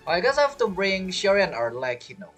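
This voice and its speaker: A man's voice: high voice, sing-song, quick pace, a bit bright. A man giving casual live gameplay commentary, talking as a gaming streamer. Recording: game music behind the voice. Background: video game music.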